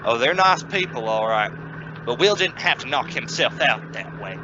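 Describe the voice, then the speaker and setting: A man's voice: medium pitch, big pitch swings, normal speed, lightly acted. A man playing an exasperated, sarcastic father of three in an old-time radio comedy. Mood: grumbling. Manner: sarcastic, scolding. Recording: dull, noisy home-made remote recording.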